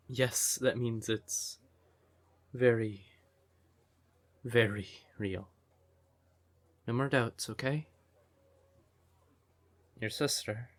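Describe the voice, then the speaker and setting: A man playing a devoted, emotional siren boyfriend in an intimate fantasy ASMR audio roleplay, with a breathy, tender voice. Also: medium pitch, fairly bright tone. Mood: soft, reassuring. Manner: tender, unhurried, emotive, with pet names. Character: devoted male siren nightclub singer, affectionate boyfriend, easily exasperated.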